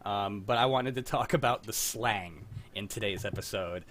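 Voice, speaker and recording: A man's voice: on the low side, moderate pace, fairly bright tone, a little hiss or hum. Guy hosting a stream, rambly and self-deprecating, video call audio. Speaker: livestream host. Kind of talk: casual group video chat.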